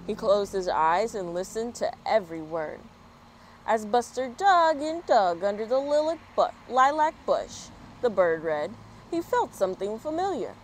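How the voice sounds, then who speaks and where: A woman's voice: medium pitch, lively intonation, measured pace. An American woman hosting a children's story time, reading and chatting expressively outdoors. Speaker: story time host and children's book reader. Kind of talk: children's read-aloud with friendly chat. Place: outdoors in a yard. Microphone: small external microphone. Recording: outdoor street-work and traffic noise. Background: street work, traffic, outdoor ambience.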